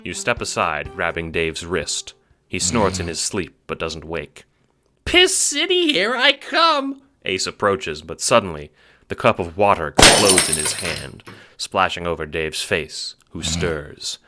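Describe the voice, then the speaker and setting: Low voiced guy reading dramatic story narration, crisp forceful tone, audiobook style. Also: measured pace, faint music behind.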